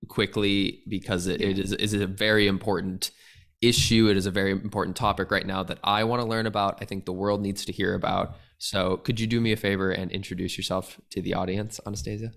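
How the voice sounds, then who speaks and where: A man's voice: deep voice, little pitch movement, a little fast, vocal fry, a bit bright. A man hosting a podcast interview, speaking earnestly and greeting his audience. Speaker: podcast host.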